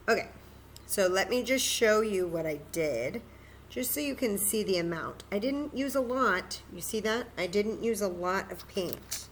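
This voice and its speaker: A woman's voice: mid-range voice, a little hiss or hum. A woman hosting a children's story time, patiently and encouragingly demonstrating a craft step by step in simple, casual words.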